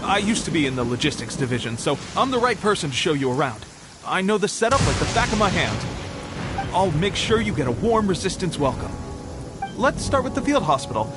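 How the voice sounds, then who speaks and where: An eager young soldier from a video game, with a high male voice. He talks earnestly, with pride and respect for his comrades. Music is clearly heard underneath. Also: big pitch swings, brisk. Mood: eager, friendly.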